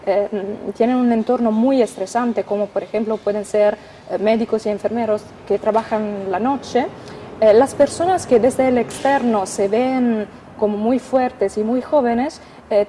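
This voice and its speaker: A young woman with an Italian accent, a physician speaking as a radio interview guest, careful and halting, with many filler sounds. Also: on the high side, dark tone, a little hiss or hum. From Italy.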